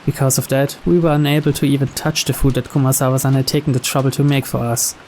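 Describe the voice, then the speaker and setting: A man reading scripted visual novel narration aloud in a measured storyteller's manner. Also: mid-range voice, level tone, a little mellow, slight background noise.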